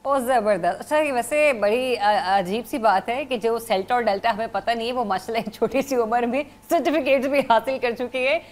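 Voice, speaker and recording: A woman's voice: on the high side, a little fast, hardly any pauses. A woman with a Pakistani accent, hosting a conversational TV interview in a chatty way with short interjections. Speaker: TV interview host.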